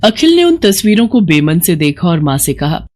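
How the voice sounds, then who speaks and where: A woman's voice: fairly low pitch, sing-song, moderate pace, darkish tone. A woman narrating a scripted moral story expressively.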